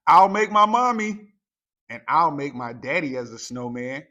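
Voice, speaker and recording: A man voicing excited, cheerful young children in a storybook, loud and eager. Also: a little high, a little slow, muffled recording.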